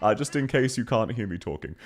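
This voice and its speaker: A man's voice: medium pitch, expressive, a little fast. An Australian man streaming live in casual chat, laughing often and thanking viewers one by one. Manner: giggly, thanks viewers warmly.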